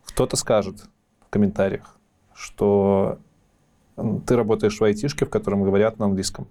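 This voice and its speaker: A man's voice: on the low side, fairly even intonation, moderate pace, warm tone. A man interviewing a guest in a casual conversation, putting probing and challenging questions to her.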